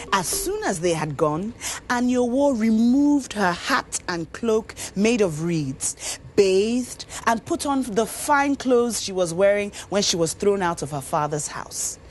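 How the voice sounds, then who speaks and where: A woman with a Nigerian accent narrating a scripted folktale in an expressive, dramatic storyteller's manner. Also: mid-range voice. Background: music.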